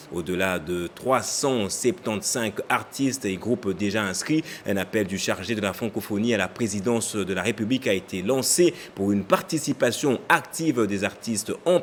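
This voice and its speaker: A man reading a television news bulletin in a measured, formal way. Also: lowish voice, a little hiss or hum. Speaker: news anchor.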